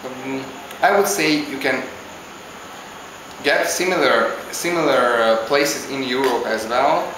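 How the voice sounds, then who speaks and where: Halting man, echoey. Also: mid-range voice, noisy background. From Hungary. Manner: halting, searches for words. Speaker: interviewee, visiting traveler. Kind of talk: casual spoken interview answers. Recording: very echoey with clear background ambience.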